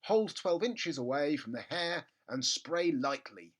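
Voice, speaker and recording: A man puts on an emphatic product-label voice for a children's storybook. It declares each instruction and promise like an advertisement, in a sales-pitch manner. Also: sing-song, measured pace. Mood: emphatic, promotional.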